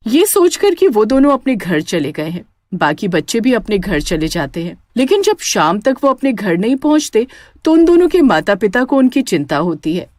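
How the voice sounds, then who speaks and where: A woman's voice: medium pitch, very animated, moderate pace, a little mellow, dry recording, studio quality. A woman narrating a scripted children's horror story as a storyteller.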